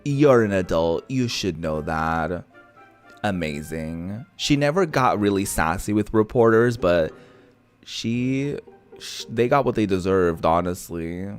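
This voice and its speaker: A man's voice: low-pitched, animated, takes it slowly, clear, resonant tone, soft background music. A man giving casual, sassy, digressive game commentary in slangy speech. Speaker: gaming streamer.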